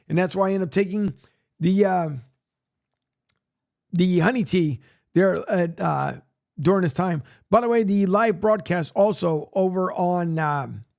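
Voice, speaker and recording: A man hosting a sports radio show, rambling casually with many fillers, his voice raspy from a long day. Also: sits high, a little mellow. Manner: rambling, many fillers, plugs and reads news aloud. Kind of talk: casual solo sports talk, filling time.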